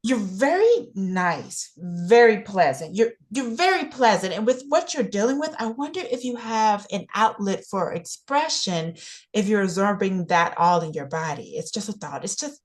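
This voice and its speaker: An actress plays an earnest, nosy woman in a naturalistic stage play. Her pitch swings widely as she talks in halting, repetitive bursts, insistent about what she has to offer. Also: very animated, lightly acted. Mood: earnest, tentative. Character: Ruthie, talkative, earnest, nosy woman offering unasked health advice.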